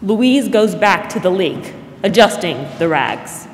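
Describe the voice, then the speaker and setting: A woman's voice: lowish voice, lively intonation, takes it slowly, noisy background. A woman reading scripted narration for a radio drama. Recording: echoey stage hall, music behind.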